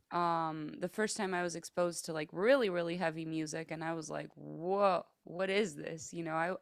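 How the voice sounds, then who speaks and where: A woman's voice: on the low side, no echo, clean studio sound. A woman who sings metal, guest in a casual interview, talking in a chatty way with many fillers and easy laughs. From Greece and the United States.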